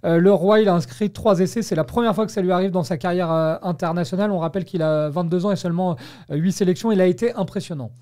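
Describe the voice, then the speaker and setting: A man's voice: high-pitched, quick pace, warm tone. A man hosting a sports talk discussion on the radio. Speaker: radio host.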